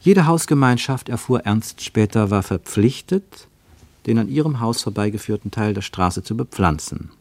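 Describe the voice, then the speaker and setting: A man narrating a radio drama travelogue in a measured, descriptive way, building long lists. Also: on the low side, expressive, vocal fry, darkish tone, dry recording.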